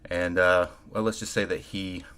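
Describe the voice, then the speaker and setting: A man doing a stoic half-orc cleric. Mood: flat. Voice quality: low and a little gravelly. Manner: halting, flat, many ums.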